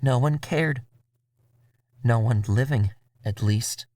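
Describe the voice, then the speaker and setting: A man voicing a bitter, devoted woman vampire in a measured, confessional audio drama monologue. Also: medium pitch, a little mellow, no room sound, lightly acted. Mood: bitter, brooding. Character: bitter, devoted Middle Eastern American woman vampire, quietly menacing. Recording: music behind the voice.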